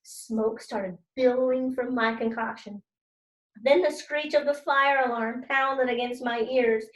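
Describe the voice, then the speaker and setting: A woman's voice: fairly high pitch, steady tone, measured pace. A woman teacher doing a children's read-aloud, explaining things simply and speaking directly to her students. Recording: roomy, echoey sound.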